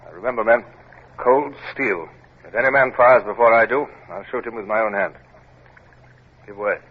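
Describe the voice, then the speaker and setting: An actor playing a commanding, stern British naval captain in an old radio adventure drama, speaking in clipped, formal orders. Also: male voice, fairly low pitch, normal speed, slightly rough. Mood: quiet resolve. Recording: tinny, noisy vintage radio recording.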